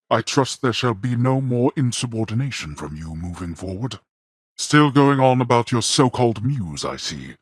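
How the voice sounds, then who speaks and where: A man playing a stern, imperious male lord of the underworld in a video game, speaking in a cold, disdainful, formal manner with a very husky, gravelly voice. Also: lowish voice, no room sound, clean studio sound. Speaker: voice actor.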